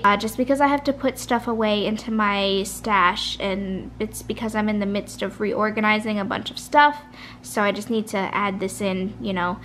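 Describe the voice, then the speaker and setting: Woman vlogger, chatty rambler, tired and soft-voiced, faint hum behind. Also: mid-range voice. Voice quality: soft, a little raspy. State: tired, late at night. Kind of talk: casual chat. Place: kitchen at home. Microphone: camera at a kitchen table.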